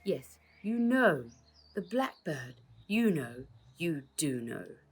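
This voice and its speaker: A woman's voice: low voice, big pitch swings, slow, slightly rough, heavily acted. A woman voicing a shifty male crook in a storybook, mumbling and pleading as he shifts the blame. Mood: meaningful, insistent.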